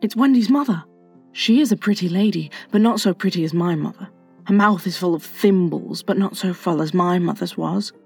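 A man's voice: high voice, normal speed, gravelly, darkish tone, breathy edge, faint music behind, exaggerated voice. A man voicing a boastful, scheming boy who never grows up, eager and bragging. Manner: eager, bragging, urgent whispering. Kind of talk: audiobook character voice.

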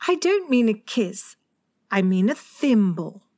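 A woman's voice: mid-range voice, sing-song, slow pace, dark tone, dry recording, clean studio sound, pitched above the performer's own voice. A narrator voicing a prim, eager, easily thrilled young girl in a storybook, earnest and proper. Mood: gently coy. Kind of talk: storybook character voice.

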